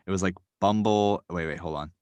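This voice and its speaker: A man with a very low voice chats as a podcast guest, his delivery meandering and padded with fillers and self-corrections. The sound is close and dry, with almost no room around it. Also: a little fast, a little mellow, smooth, dry recording. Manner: rambling, full of "um" and "like", hedging. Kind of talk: casual podcast chat.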